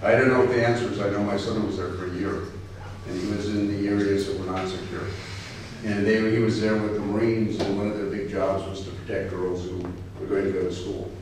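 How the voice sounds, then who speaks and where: A man's voice: fairly low pitch, little pitch movement, a little fast. Middle-aged American male consultant, thoughtful and candid, far from the mic, faint room noise. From United States. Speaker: political consultant. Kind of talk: panel discussion answering questions. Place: university lecture hall. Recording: echoey lecture hall from far off.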